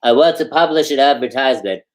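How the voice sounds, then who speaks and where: A man voicing a frantic, indignant official who shouts imperious orders in a storybook reading. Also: medium pitch, animated, quick pace, voice pushed up, lightly acted. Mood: frantic demanding.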